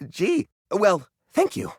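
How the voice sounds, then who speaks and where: A man's voice: high voice, wildly swooping pitch, extremely slow, a little mellow, husky, no room sound, clean studio sound, full character voice. An actor playing a dotty, proud hoarder who shows off junk in a video game, with theatrical showman's patter and flustered stammers. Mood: bashful, relieved. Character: eccentric, dotty male junk-drawer keeper, proud hoarder. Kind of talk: animated video game character acting.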